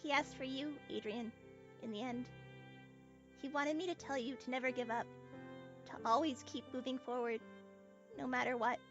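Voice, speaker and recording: A woman playing a gentle, sorrowful young friend in a fan audio drama, subdued with grief. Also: high voice, fairly even intonation, normal speed, pauses often. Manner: subdued, grieving. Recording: dull lo-fi microphone under music.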